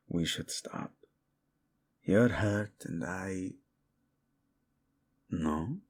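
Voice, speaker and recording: A male voice actor playing a devoted, possessive royal husband in an intimate romantic audio roleplay, with a husky low voice and formal, teasing speech. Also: heavily acted. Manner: slow, hushed, teasing, formal wording.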